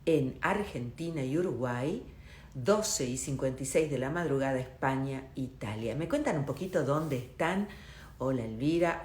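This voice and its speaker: Lady host on a livestream, deep voice, chatty, echoey room. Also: a little hiss or hum. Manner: chatty, warm greetings, quick asides. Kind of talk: livestream interview host greeting viewers. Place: home in Miami.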